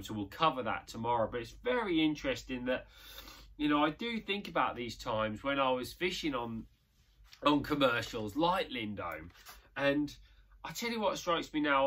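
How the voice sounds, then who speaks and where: A man's voice: mid-range voice, pushed and bright. A young man in a tackle shop, casually talking through his past fishing matches and thinking aloud with plenty of ums. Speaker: angler and channel host. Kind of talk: casual reminiscing commentary.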